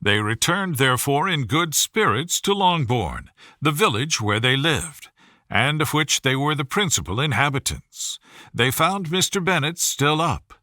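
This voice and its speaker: A man's voice: a little high, animated, unhurried, pushed and bright, no echo, clean studio sound. A man reading a classic novel aloud as a scripted storytelling narrator. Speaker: audiobook narrator.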